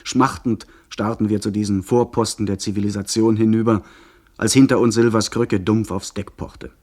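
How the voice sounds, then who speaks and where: Measured, sober young gentleman storyteller, male actor. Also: on the low side, fairly even intonation, close to an everyday voice. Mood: grave, measured. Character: young gentleman narrating his sea adventure, sober. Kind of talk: scripted radio play narration.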